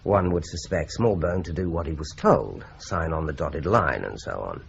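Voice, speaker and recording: An actor playing a shrewd, probing police investigator in a radio drama, measured and dry in manner. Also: male voice, extremely low pitch, lively intonation, gravelly, a little hiss or hum, heavily acted. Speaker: police detective investigating a murder. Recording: dull lo-fi radio recording.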